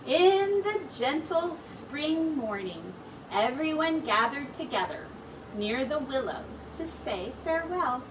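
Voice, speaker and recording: A woman teacher reading a storybook aloud to children in an expressive, deliberate way with drawn-out words. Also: high-pitched. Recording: distant mic with clear background noise.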